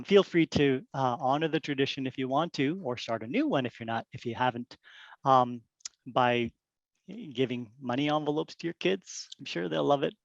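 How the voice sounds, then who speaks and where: A middle-aged man from Korea gives a relaxed lunchtime talk to colleagues, friendly and full of ums and uhs. His voice sits at an ordinary pitch. It comes through a dull computer mic in a dry room. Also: vocal fry, dry recording. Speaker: presenter. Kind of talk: casual workplace presentation.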